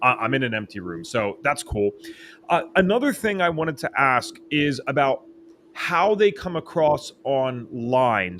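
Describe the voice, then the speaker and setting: A man hosting a podcast interview from a home office, talking in an excitable, fast way. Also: medium pitch, slight background noise. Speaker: podcast host. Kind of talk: casual interview chat. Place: home office, empty spare bedroom. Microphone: studio microphone.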